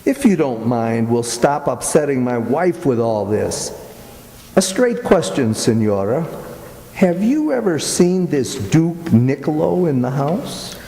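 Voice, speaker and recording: A man playing a protective, skeptical husband in a radio play, firm but courteous, with measured, pointed questioning. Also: medium pitch, sing-song, dark tone. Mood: firm, probing. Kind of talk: dramatic radio play acting. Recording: echoey hall.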